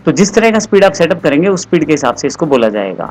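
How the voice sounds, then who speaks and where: A man narrating a step-by-step software tutorial in an explanatory, instructional way, repeating his instructions. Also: fairly high pitch, sing-song, fast talker.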